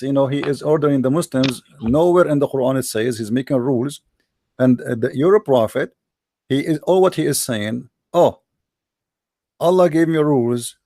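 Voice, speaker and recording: Debate host, Arabic accent, forceful and pressing, dry mic. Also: male voice, mid-range voice, no room sound. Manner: forceful, argumentative, quotes sources. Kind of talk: religious debate.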